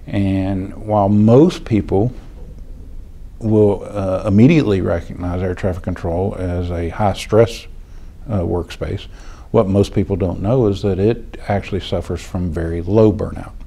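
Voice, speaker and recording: A middle-aged or older American man giving a measured, deliberate explanation in an interview, halting now and then as he phrases things. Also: deep voice, steady tone, gravelly, mellow. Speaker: healthcare administrator, retired air traffic control official.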